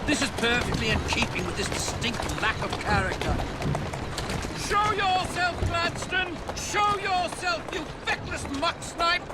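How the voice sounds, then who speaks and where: An actor playing a pompous, irascible older English prime minister in a Victorian video game, blustering in ornate language. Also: male voice, extremely high pitch, lively intonation, unhurried. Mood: furious, blustering. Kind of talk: video game cutscene acting. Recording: loud music behind the voice.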